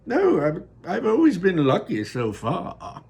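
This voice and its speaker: A man voicing an eccentric male candy maker, gallant and theatrical, with a slightly husky voice. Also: high voice, wildly swooping pitch, unhurried, a little mellow, voice pushed up, heavily acted. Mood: breezy.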